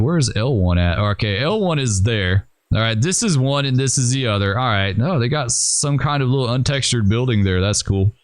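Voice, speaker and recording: A man hosting a gaming channel, thinking aloud and rambling with hesitations as he plans moves in a train simulator game, his voice going hoarse. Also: medium pitch, no echo. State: losing his voice. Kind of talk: casual gameplay commentary.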